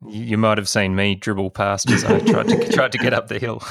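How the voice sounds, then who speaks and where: A man's voice: on the low side, very animated, moderate pace, vocal fry. A man hosting a podcast, chatting casually and stumbling a little over his questions. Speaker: podcast host. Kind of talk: casual interview chat.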